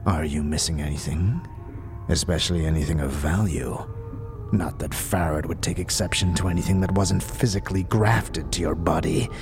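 A man voicing an old, dying, weary scribe in an audio drama, frail and breathy, speaking slowly and solemnly in archaic phrasing. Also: low voice, over music. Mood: wry, weary. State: sickly, coughing.

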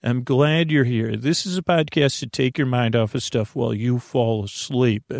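A man hosting a sleep podcast, talking in a meandering, halting way, often restarting sentences and answering imagined objections. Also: mid-range voice, vocal fry, darkish tone, no room sound, studio quality. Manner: voices imagined listener objections and answers them. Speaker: podcast host. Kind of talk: rambling, unscripted sleep podcast introduction.

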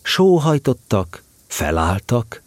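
A man narrating a storybook tale in an expressive, animated way. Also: medium pitch, no echo.

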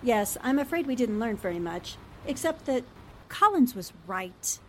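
Woman playing high-voiced newspaperwoman in a rainy car. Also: big pitch swings, normal speed, a bit bright. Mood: mild, rueful. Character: good-natured, sensible newspaperwoman driving through rain. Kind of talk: 1940s-style radio drama acting. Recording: clear rain and car noise behind. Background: rain and vehicle sounds.

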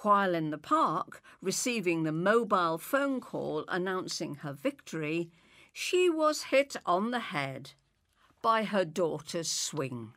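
A woman's voice: fairly low pitch, big pitch swings, takes it slowly, a bit bright, no echo, clean studio sound. A woman reading scripted narration for an audio magazine. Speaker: magazine programme reader.

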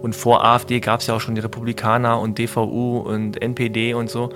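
A man's voice: medium pitch, completely flat delivery, brisk, over music. A man in his mid-forties chatting casually, reflective and often hesitant as he searches for words. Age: mid-40s. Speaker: podcast discussion partner. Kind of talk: casual conversation.

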